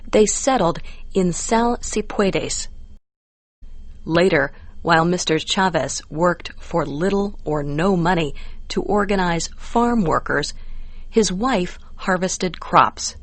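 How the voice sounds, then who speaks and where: An American woman reading scripted educational narration for English learners with measured, careful enunciation. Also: fairly low pitch, no room sound.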